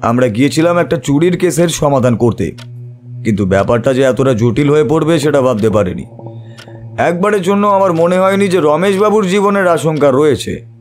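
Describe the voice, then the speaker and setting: An actor playing a shrewd, composed male private detective in an audio drama, measured, analytical and authoritative. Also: a little high, lively intonation, a little mellow, faint music behind, lightly acted. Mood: thoughtful.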